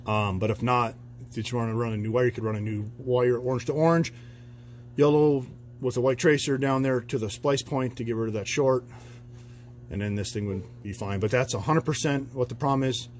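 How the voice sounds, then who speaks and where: A man's voice: medium pitch, fairly even intonation, a little fast, slight background noise. A man working as an auto mechanic, talking through a repair in a loose, rambling way with plenty of fillers. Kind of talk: casual repair walkthrough. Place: garage, under a truck.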